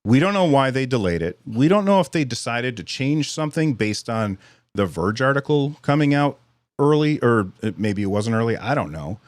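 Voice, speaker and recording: Hoarse rambling male podcast host. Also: medium pitch, very animated, moderate pace, dry recording. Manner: rambling, self-deprecating, restarts sentences. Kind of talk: casual live-stream chat.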